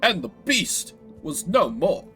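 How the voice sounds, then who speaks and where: A man's voice: high voice, sing-song, takes it slowly, sharp tone, husky, faint music behind, full character voice. A man voicing a boastful, theatrical dragon slayer in a fantasy video game, pompous and florid in his storytelling. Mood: dramatic bragging. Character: boastful male dragon slayer, grandiose, theatrical, self-styled hero.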